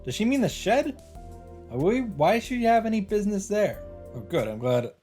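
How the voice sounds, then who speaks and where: A man's voice: high-pitched, big pitch swings, moderate pace, mellow. A man hosting a let's play, reading visual novel narration aloud. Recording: game music faintly behind. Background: game music.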